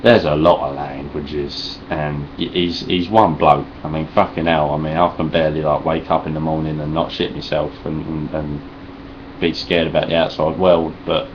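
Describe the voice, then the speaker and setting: Deep-voiced sweary Cockney Essex man. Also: moderate pace, few pauses, muffled recording, noisy background. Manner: deadpan, sarcastic, rambling, heavy swearing. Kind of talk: sweary deadpan vlog response.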